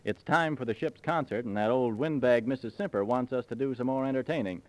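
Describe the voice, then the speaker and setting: Male actor as practical comedy straight man, medium pitch, 1930s radio patter, old recording. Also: a little fast, a little mellow, no echo, natural voice, rough recording. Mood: matter-of-fact.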